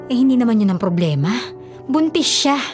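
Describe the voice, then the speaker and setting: An actress with a Filipino accent playing a concerned, admonishing older sister in a radio drama, speaking expressively. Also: female voice, fairly high pitch, very animated, a little fast. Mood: scolding. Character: concerned, nagging older sister of a young man. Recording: faint music bed behind dialogue.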